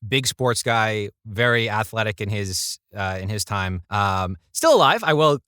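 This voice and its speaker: A man's voice: lowish voice, lively intonation, measured pace, sharp tone, clean tone, dry recording, clean studio sound. A man speaking as a podcast guest, telling personal stories in a casual, conversational way.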